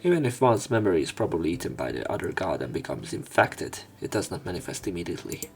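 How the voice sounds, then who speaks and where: A man reading visual novel text aloud as a let's play narrator, in measured short phrases. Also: low-pitched, very animated, a little mellow. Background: game music.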